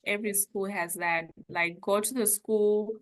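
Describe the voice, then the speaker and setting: A woman's voice: mid-range voice, steady tone, unhurried. A young woman from Zimbabwe, a former international student, chatting casually and hedging her points with frequent laughs.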